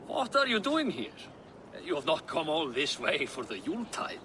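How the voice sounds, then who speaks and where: An actor playing a cautious, burdened old Norse warrior in a dramatic video game. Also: male voice, on the high side, wildly swooping pitch, moderate pace, sharp tone, a little hiss or hum, full character voice. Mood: welcoming.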